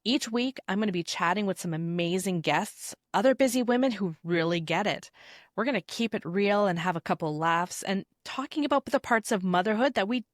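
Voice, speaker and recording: A woman's voice: medium pitch, no room sound, studio quality. A woman hosting an interview podcast, moving between scripted introduction and conversational talk.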